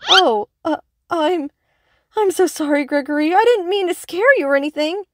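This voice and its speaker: A woman's voice: extremely high pitch, lively intonation, normal speed, heavy background noise. A woman voicing an anxious, caring young adult woman in an earnest, hesitant way for a comic dub. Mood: apologetic.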